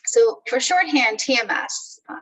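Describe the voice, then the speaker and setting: A middle-aged woman from Turkey who works as a professor, giving a measured academic talk in a webinar with frequent "um" fillers. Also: a little high, lively intonation, fairly bright tone, husky, distant mic, low-quality recording.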